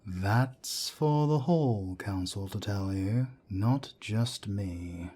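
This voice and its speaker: A deep, husky-voiced werewolf elder played by a man in an audio drama. He is a wry mentor who talks slowly and deliberately, with a dark, mellow tone. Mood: grave, instructive. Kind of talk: audio roleplay drama acting.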